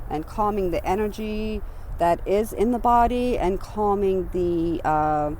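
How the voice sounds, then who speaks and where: A woman in her fifties from Romania, Hungarian her first language, explains her views earnestly and with animation. Her pitch sits in a middle range. She is recorded on a trail outside, with faint traffic noise behind her. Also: unhurried. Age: 50s. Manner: earnest, animated, repeats words when emphatic. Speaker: interviewee. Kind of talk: street interview, casual talk. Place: outdoors on an urban trail.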